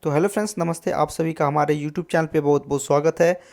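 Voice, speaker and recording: A man hosting a casual tutorial on music software, explaining step by step and often adding short check-in tags. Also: on the high side, fairly even intonation, quick pace. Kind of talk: casual screen-recorded tutorial.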